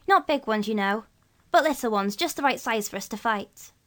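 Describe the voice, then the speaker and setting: A woman's voice: on the high side, lively intonation, normal speed, fairly bright tone, voice pushed up. A dreamy young boy muses aloud in a storybook reading, voiced by a woman. His voice is a little raised and swoops up and down.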